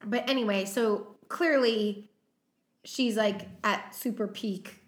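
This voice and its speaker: A woman co-hosting a film podcast, chatting casually through cast notes with plenty of "um" fillers. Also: medium pitch. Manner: unhurried, many "um" fillers, running through notes. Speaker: podcast co-host. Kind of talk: casual chat about a film's cast.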